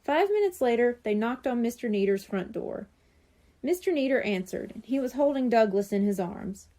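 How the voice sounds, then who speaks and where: A woman narrating a children's storybook in an animated storytelling manner. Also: a little high, moderate pace. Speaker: audiobook narrator.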